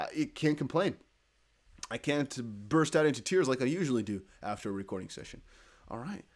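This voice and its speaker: A young man with a Dutch accent, hosting a crypto livestream in casual chat, reading viewer comments aloud. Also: a little high. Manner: chatty, animated, reads viewer comments aloud with quick laughs.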